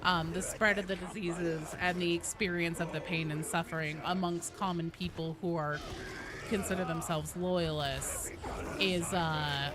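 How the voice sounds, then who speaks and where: A woman's voice: lowish voice, fairly even intonation, a little slow, noisy background. A woman streaming a game, casually explaining lore in a rambling, informal way with some swearing.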